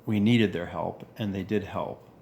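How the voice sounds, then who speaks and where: A man in his forties with a deep voice, talking earnestly in a reflective monologue, pausing and restarting as he goes. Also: steady tone, creaky, a little mellow, a little hiss or hum. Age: 40s. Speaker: vlogger.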